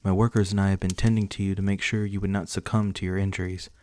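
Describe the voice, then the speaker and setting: A man playing a reclusive, protective vampire lord in an ASMR roleplay, speaking formally and courteously in measured words. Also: deep voice, little pitch movement, a little mellow, a little hiss or hum, lightly acted. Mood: courteous, soothing. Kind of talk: ASMR roleplay acting, addressing the listener.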